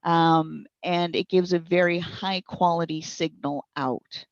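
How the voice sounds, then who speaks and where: A woman speaking as a consultant in an interview, explaining things informally with careful, measured wording. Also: lowish voice, fairly even intonation, dry recording. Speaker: speech recognition consultant and trainer being interviewed. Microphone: wireless headset. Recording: dull, band-limited internet call audio.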